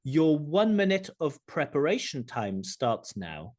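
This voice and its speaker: A man's voice: a little high, sing-song, a little slow, a little mellow. Male examiner on a call, reads questions formally, voice goes up and down a lot. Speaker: speaking examiner. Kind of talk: scripted exam questions. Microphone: video call.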